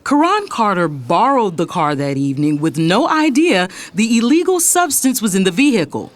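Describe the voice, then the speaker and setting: An actress playing a fiery, exasperated lawyer and big sister, speaking emphatically in a dramatic audio story. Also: female voice, mid-range voice, big pitch swings, moderate pace, lightly acted. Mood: composed, insistent. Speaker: audiobook narrator. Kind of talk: dramatic first-person story narration.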